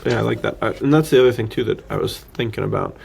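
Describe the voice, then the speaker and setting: A man's voice: on the low side, quick pace, vocal fry, a little mellow, slightly rough. A man co-hosting a podcast, discussing film casually and thinking aloud in a rambling, slangy way. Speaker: podcast co-host.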